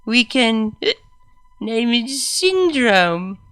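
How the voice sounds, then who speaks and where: A woman voicing a very drunk, giggly female pony who rambles in slurred, breathless, drawn-out words for a story reading. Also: a little high, big pitch swings, a bit bright, smooth, pitched above the performer's own voice, heavily acted. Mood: giddy, slurring.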